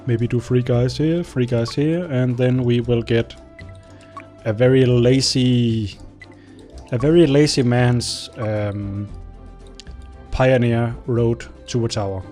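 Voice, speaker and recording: A man's voice: mid-range voice, steady tone, takes it slowly, dark tone, faint music behind. A young man whose first language is Danish, casually commenting on a strategy game as he plays, thinking aloud and talking to his units. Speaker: gameplay commentator. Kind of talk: casual let's-play commentary.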